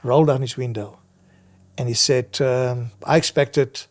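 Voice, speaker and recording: A middle-aged man with a South African accent, telling personal stories as an interview guest in an earnest, measured, reflective way. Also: medium pitch, steady tone. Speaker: guest missionary and visiting preacher. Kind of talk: conversational personal storytelling in an interview.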